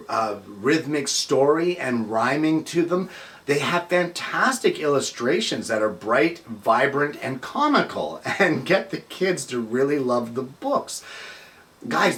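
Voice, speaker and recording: An older man talks to the viewers of his show in a mid-range voice. His delivery is casual and earnest, with lively rises and falls in pitch. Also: far from the mic. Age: middle-aged or older. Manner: earnest, addresses viewers as guys. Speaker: show host. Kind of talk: casual address to viewers and animated children's storybook reading.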